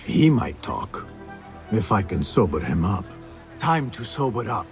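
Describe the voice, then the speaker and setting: An actor playing a composed, principled assassin in a video game, speaking in a measured, formal, probing way. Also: male voice, on the low side, sing-song, soft background music. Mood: measured, questioning. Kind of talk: video game cutscene acting.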